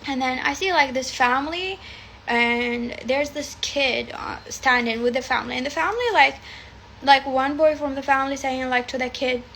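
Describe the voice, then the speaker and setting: High, gravelly Arabic-native woman rambling. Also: moderate pace, a bit bright, a little hiss or hum, low-quality recording. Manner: chatty, rambling, swears freely. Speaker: tarot reader. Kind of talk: casual livestream chat. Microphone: phone livestream.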